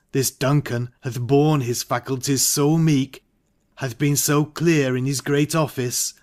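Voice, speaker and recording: Guy doing a torn ambitious nobleman, shakespeare audiobook, slow measured verse, mid pitch. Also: close to an everyday voice. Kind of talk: Shakespearean dramatic audiobook reading.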